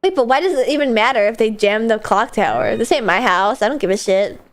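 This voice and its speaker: A woman's voice: on the high side, expressive, fairly quick. A young woman streaming a game while sick, chatting in a playful, giggly, offhand way. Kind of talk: casual gameplay chat.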